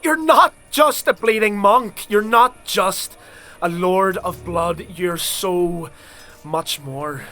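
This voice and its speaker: An actor playing a fanatical alchemist twisted by dark blood rites, preaching fervently in an unhinged manner in an audio drama. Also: male voice, sits high, takes it slowly, full character voice. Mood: zealous, accusing. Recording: music behind.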